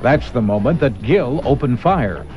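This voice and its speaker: A man's voice: mid-range voice, expressive, unhurried, darkish tone. A man delivering a scripted radio news report.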